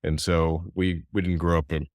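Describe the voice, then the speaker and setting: A middle-aged American man, second generation in his family's business, telling its history in a relaxed, conversational way. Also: sits low, moderate pace, no echo, clean studio sound. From United States. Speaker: company executive. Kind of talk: storytelling in an interview.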